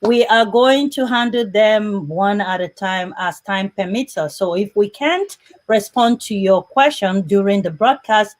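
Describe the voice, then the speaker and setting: A woman's voice: mid-range voice, unhurried. Woman hosting a live interview show. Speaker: host.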